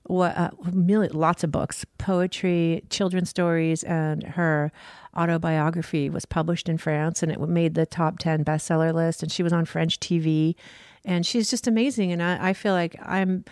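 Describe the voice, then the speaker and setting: Middle-aged woman, surf school founder, low-pitched, earnest and reflective, dry close sound. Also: fairly even intonation, normal speed, darkish tone, dry recording. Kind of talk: interview guest.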